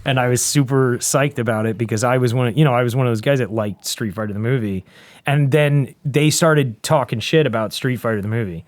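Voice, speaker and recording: A man's voice: medium pitch, fairly quick, no echo. A man in a casual, rambling and enthusiastic chat, talking like a show host. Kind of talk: casual chat about video games and movies.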